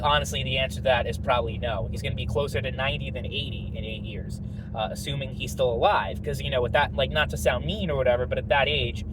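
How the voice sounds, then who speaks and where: A man's voice: medium pitch, noisy background. A young man giving a rambling, profane political opinion monologue, full of fillers. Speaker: political commentator.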